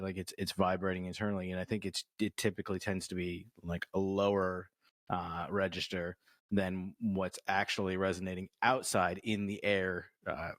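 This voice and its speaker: A man's voice: deep voice, dry recording, studio quality. A man co-hosting a casual movie review podcast, explaining his thoughts with fillers and restarts. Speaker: podcast co-host.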